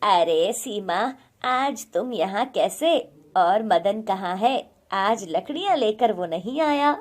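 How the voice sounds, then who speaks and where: A woman's voice: medium pitch, lively intonation, slow, pitched below the performer's own voice. A woman voicing a middle-aged male factory boss who talks in a dismissive manner in a story. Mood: curious.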